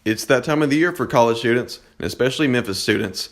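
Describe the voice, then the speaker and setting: A young man works as a student news reporter. He delivers scripted local reports in a medium-pitched voice, presenting each piece as a correspondent would. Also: fast. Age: young adult.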